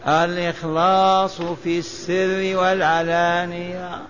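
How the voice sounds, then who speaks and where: A man from Algeria teaching as a religious scholar. He chants short memorized lists in a drawn-out, sing-song recitation and has his listeners repeat after him. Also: sits high, level tone, fairly bright tone. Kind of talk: lecture teaching by recitation and repetition. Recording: echoey hall, dull lo-fi sound, faint hiss.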